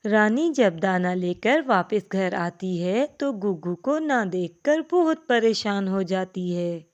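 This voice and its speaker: A woman's voice: medium pitch, lively intonation, takes it slowly, lo-fi sound. A woman giving a scripted narration of a children's cartoon story.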